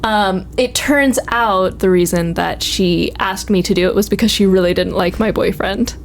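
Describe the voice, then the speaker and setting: A woman's voice: mid-range voice, normal speed. Female, 20s, conversational podcast guest with an ordinary mid-range voice. Casual storytelling, light background noise. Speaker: university program administrator, guest. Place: office.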